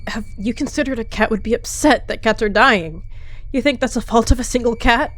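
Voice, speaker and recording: A woman's voice: a little high, fairly quick, a bit bright, voice pushed up, close to an everyday voice. Woman voicing a secretive, snappy she-cat. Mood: defensive. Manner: snappy, defensive. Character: secretive young she-cat, defensive and menacing.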